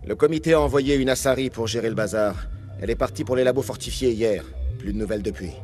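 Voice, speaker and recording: An actor playing a weary, gruff security captain in a video game, speaking in a blunt, dry, colloquial way. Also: male voice, medium pitch, fast, a little mellow, faint music behind, close to an everyday voice. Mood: weary, sardonic. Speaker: guard captain.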